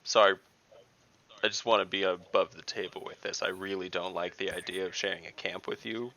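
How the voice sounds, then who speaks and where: A man takes part in a tabletop role-playing game as a player. His voice is lowish and bright, with a clear creak in it. He speaks casually and directly, often questioning. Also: fairly even intonation, a little fast.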